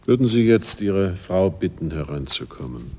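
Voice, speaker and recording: An actor playing a composed, methodical police inspector, measured and courteous as he questions witnesses in a radio crime drama. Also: low-pitched, big pitch swings, mellow. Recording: muffled radio drama recording, faint noise.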